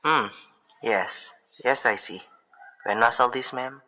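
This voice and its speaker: A placating, condescending police sergeant played by an actor in a radio thriller. The voice is bright and forceful, with an offhand, unhurried manner that brushes the caller off. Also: mid-range voice. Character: unhurried, patronizing, dismissive city police sergeant on phone. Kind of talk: old-time radio thriller acting.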